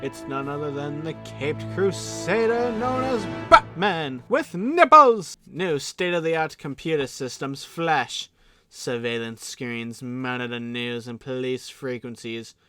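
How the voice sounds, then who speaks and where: A man's voice: a little high, animated, slow pace, sharp tone, no echo. A man narrating an audio drama in a theatrical announcer style, slipping into offhand comic asides. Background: music.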